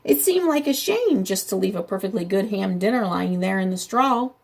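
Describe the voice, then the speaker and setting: A woman voicing a smooth-talking male wolf who pleads his innocence in a storybook, with a gravelly, husky voice and a chatty, persuasive manner. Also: mid-range voice, animated, moderate pace.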